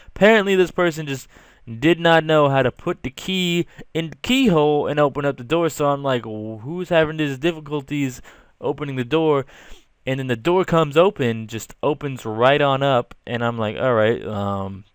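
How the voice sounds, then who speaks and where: A man's voice: mid-range voice, clean tone, dry recording, studio quality. A young man telling a casual, slangy story with exasperated asides. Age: young adult. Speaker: storytelling video creator. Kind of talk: casual storytelling over rough drawings.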